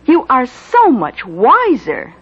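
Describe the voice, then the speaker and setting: High-voiced, genteel scheming lady. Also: huge pitch swings, slow pace, full character voice. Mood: sly, condescending. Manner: gracious, mock-sweet, theatrical, condescending. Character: smug, genteel, scheming con-woman and doting mother. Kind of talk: 1970s radio drama acting. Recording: dull vintage radio broadcast.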